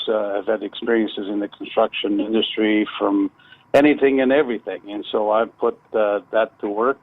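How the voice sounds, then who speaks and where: Older man on a muffled phone line. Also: fairly low pitch. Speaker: phone-in guest, retired contractor. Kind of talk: casual radio phone interview.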